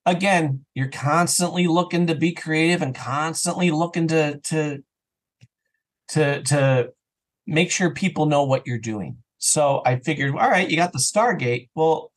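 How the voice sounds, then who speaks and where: A man chatting casually as an interview guest, telling stories and acting out imagined remarks as he goes. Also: fairly high pitch. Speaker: podcast guest, audio drama creator.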